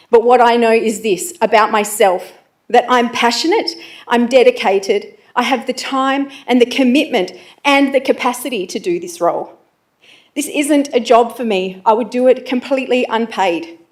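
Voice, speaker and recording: An Australian woman, a councillor, delivering an earnest, confident prepared speech at a council meeting. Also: a little high.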